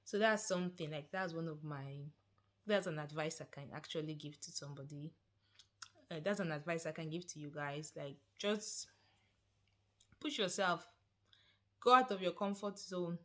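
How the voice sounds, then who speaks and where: Encouraging Nigerian woman vlogger, lowish voice. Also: normal speed, pauses often. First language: not Swedish. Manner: encouraging, animated, repeats phrases for emphasis. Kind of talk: casual advice vlog.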